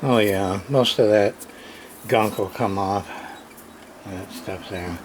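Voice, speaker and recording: Slow-talking man over background noise. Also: on the low side. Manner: muttering to himself, halting short phrases; plain folksy, mildly salty talk. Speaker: radio repairer, channel host. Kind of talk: casual hands-on repair demonstration talk. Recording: clearly noisy room recording, background ambience.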